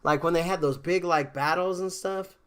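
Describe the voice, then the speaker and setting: A man's voice: on the high side, moderate pace, clean tone. Laid-back rambling male streamer. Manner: relaxed, rambling, lots of fillers and restarts. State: congested from a cold. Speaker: game streamer. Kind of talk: casual live-stream chat.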